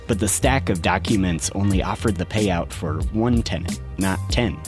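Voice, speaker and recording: A man narrating a scripted documentary podcast in a measured, storytelling way. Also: low-pitched, music in the background.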